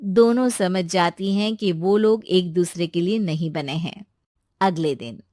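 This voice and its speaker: A woman's voice: on the low side, unhurried, no echo, studio quality. Woman narrating a cartoon story. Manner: steady, storybook telling.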